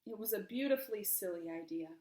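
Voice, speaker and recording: A woman's voice: mid-range voice, lively intonation, quick pace, mellow. A woman reading a children's storybook aloud expressively, with emphasis and questions put to young listeners. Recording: roomy, echoing room.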